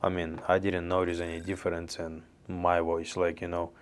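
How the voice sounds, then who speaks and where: A young man with a very low, deep voice talks in an even, barely rising tone. His delivery is loose and chatty, full of restarts and plain phrasing, like an unscripted gear review vlog. He is recorded up close on a handheld reporter mic in a small office room. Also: moderate pace. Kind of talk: casual product review vlog. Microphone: handheld reporter mic and lavalier, on-camera framing.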